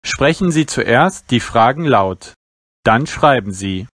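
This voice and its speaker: A man reads language exam practice texts aloud at a very unhurried pace. He speaks each phrase carefully and clearly, like a teacher. His voice sits in a middle pitch and the sound is dry and close, with no room echo. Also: animated, a bit bright. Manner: slow, careful, clearly articulated reading. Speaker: German teacher.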